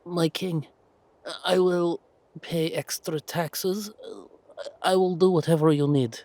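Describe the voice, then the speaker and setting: A man's voice: a little high, fairly even intonation, slow pace, a little mellow, no echo, voice pushed up. A man acting a cowardly, grovelling duke in an audio roleplay, stammering and pleading in terror. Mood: terrified grovelling.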